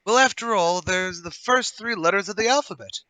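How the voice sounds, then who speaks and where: A man's voice: sits high, brisk, sharp tone, no room sound, pitched above the performer's own voice, rough recording. A man playing a chatty, friendly, inquisitive passer-by in a radio play. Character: chatty, curious, good-natured male passer-by. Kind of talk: radio play table read.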